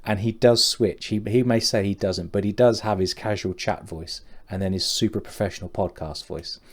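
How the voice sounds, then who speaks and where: A man's voice: on the low side, steady tone, normal speed. A man co-hosting a podcast in casual chat, teasing and laughing often. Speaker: podcast co-host.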